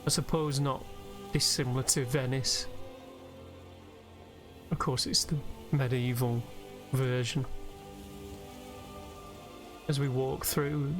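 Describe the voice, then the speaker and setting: Male relaxation host, mid-pitched and very slow, soothing meditation delivery, faint music underneath. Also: steady tone. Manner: gentle, unhurried, drawn-out phrasing with pauses. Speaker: guided relaxation host and gamer. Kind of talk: soothing guided meditation narration over gameplay. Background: soft background music from a video game.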